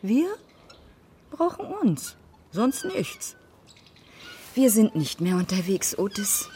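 A woman's voice: medium pitch, very animated, takes it slowly, mellow. A middle-aged mother in a radio play, weary and dry with sarcasm. Her intonation moves widely up and down. Faint noise sits behind the voice. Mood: weary resolve. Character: weary, sardonic mother of two children. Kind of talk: naturalistic radio play acting.

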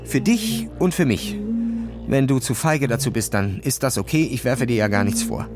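A man's voice: mid-range voice, lively intonation, moderate pace, music in the background, lightly acted. A man voicing a reckless, boastful boy skier in an agitated, defiant manner for a children's story. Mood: desperate, defiant.

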